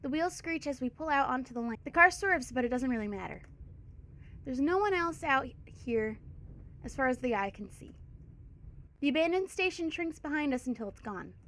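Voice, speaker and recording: A woman with a congested voice reading the lines of a quiet, weary male drifter in a story game. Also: lively intonation, normal speed. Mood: subdued. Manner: low, subdued reading.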